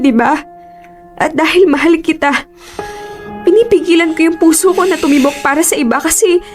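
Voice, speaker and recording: An actress playing an earnest, torn young bride-to-be with a Filipino accent, emotional and imploring, in radio drama style. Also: female voice, sits high, a little mellow, close to an everyday voice.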